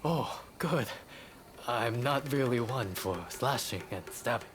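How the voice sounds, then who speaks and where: Slow, expressive wry samurai scholar. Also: male voice, mid-range voice, background noise. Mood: cautious, courteous. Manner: measured, articulate, wry. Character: reclusive samurai medical researcher, wry, courteous, unwarlike. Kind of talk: dramatic video game acting.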